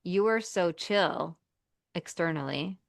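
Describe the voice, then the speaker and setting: Lower-voiced woman host, dry sound. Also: slow, gravelly, no echo. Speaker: podcast host, marriage coach. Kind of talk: casual conversation.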